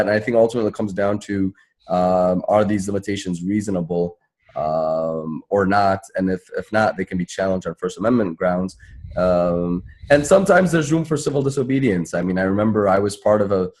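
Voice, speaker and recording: A man's voice: low voice, normal speed, darkish tone. A man, a lawyer on a panel, explaining legal points in a conversational way. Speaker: panelist, civil rights lawyer.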